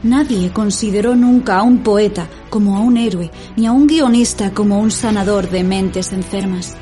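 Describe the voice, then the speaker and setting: A woman's voice: medium pitch, moderate pace, dark tone, faint music behind, natural voice. A woman playing an opinionated fictional character in an audio drama. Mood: solemn, earnest. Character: opinionated female fictional character, partner of her writer.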